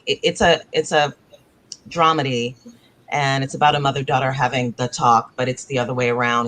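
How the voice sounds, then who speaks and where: A woman's voice: rumbling low, fairly bright tone, rough recording. Thoughtful woman playwright on a video panel. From born in Africa, raised in the United States. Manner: thoughtful, searching, circles back to rephrase. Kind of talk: panel discussion over video call. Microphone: video call.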